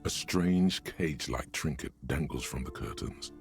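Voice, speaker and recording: A man giving measured, scripted narration for a video game. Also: extremely low pitch, soft background music.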